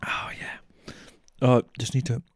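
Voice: takes it slowly, no echo, in a whisper, studio quality. A podcast co-host in casual banter and song, teasing and sweary, over music.

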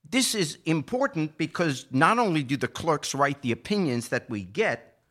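A man giving political commentary as a podcast co-host, explaining his points in an emphatic, argumentative way with deliberate phrasing. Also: a little high, sing-song, a bit bright.

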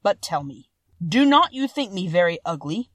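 A woman plays a gentle-hearted male beast from a storybook. He speaks emphatically and with courtly care, humble and full of sorrow. The sound is close and dry. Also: mid-range voice, big pitch swings, moderate pace, no room sound. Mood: courteous, anxious. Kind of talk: storybook character voice.

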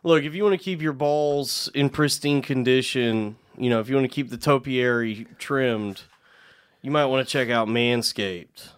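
A young man co-hosting a podcast, chatting casually and hamming up a mock sales pitch. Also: moderate pace, clear, resonant tone. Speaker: podcast co-host.

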